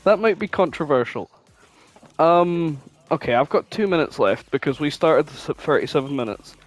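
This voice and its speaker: A man's voice: a little high, animated, moderate pace, soft background music. A man chatting casually as a podcast co-host, informal and prone to swearing. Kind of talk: casual chat, guessing game.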